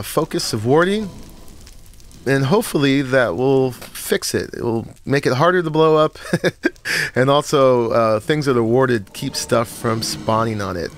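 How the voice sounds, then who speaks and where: Relaxed man with a froggy morning voice. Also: mid-range voice. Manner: relaxed, rambling, with frequent "uh" and short laughs. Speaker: Minecraft let's-play host. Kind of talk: casual gameplay tour narration.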